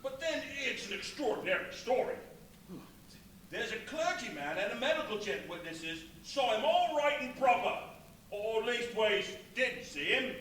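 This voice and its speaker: A man playing a chatty, gullible working-class mariner, animated and rambling in a live radio play. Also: sits high, moderate pace. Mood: amazed, gossipy. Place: live stage performance in a brewery venue. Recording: echoey, noisy live venue, far from mic.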